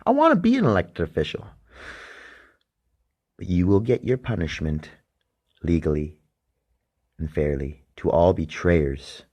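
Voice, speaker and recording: A Canadian man hosting a casual late-night solo podcast, rambling and profane, trailing off into mumbles and then bursting into loud emphasis. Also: sits low. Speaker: podcast host.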